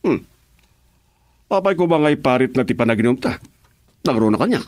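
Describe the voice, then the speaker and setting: Casting: male actor for joking henchman, Ilocano accent, rowdy, very lively intonation, radio serial. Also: medium pitch, normal speed, natural voice. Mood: defiant. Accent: Filipino, Ilocano. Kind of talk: Ilocano radio drama acting.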